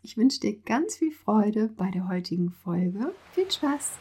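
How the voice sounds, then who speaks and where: A woman hosting a podcast interview, upbeat and quick to laugh. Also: medium pitch, sing-song, heavy background noise. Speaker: podcast host.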